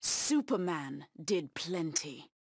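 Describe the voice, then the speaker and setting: A woman's voice: low voice, big pitch swings, slow, husky, no echo, clean studio sound, heavily acted. An actress voicing a proud, bloodthirsty cat-woman huntress villain in a video game, with menacing, taunting delivery.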